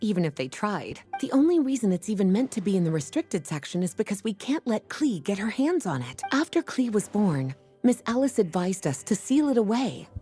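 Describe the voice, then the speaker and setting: A woman voicing a composed, knowledgeable librarian in a video game, explaining things in a measured, storytelling way. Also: mid-range voice, big pitch swings, warm tone, natural voice. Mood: calm explaining. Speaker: librarian character. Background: game music.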